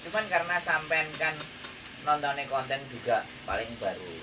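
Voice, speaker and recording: A man's voice: a little high, very animated, normal speed. A man with a Javanese accent giving advice in an emphatic, lecturing chat, often checking with rhetorical questions. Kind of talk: casual counselling chat. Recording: distant mic, noisy kitchen with frying.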